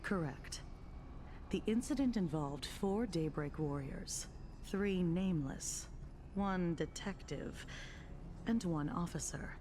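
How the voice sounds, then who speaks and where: An actress playing a world-weary, confident security officer with a dry, knowing, deliberate delivery. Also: female voice, fairly low pitch, warm tone, slight background noise. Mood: firm warning. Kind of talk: dramatic game voice acting. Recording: music behind the voice.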